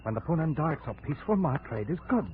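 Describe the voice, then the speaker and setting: Grim jungle trader, fairly high male voice, brooding, menacing, old radio drama, tinny band-limited recording. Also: big pitch swings, fast talker, slightly rough, heavily acted. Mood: bitter, intense. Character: big, clumsy, grimly determined male jungle trader. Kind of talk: 1950s radio drama acting. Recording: narrow, noisy 1950s radio recording.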